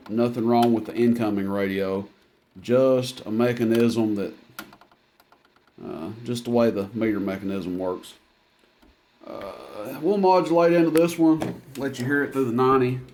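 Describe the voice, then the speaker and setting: A man's voice: medium pitch, measured pace, darkish tone, slight background noise. A man giving a casual hands-on equipment demonstration in a plainspoken, folksy, matter-of-fact way. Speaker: CB radio seller demonstrating equipment.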